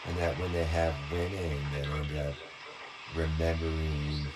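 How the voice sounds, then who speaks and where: Super deep voiced guy rambling, lots of restarts and trailing off, noisy background. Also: level tone. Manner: halting, trailing off, many restarts and fillers. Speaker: video monologue speaker. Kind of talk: rambling casual monologue.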